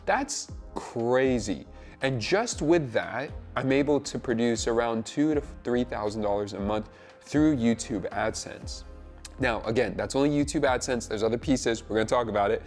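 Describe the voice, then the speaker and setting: A man's voice: medium pitch, animated, moderate pace, a little mellow, faint music behind. A man vlogging to camera at home, talking in an upbeat, emphatic way and sounding slightly congested from a cold. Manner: upbeat, chatty, emphatic with frequent "literally". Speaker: YouTube creator and web developer. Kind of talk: casual vlog talk to camera. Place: two-bedroom home in California, filming on the floor.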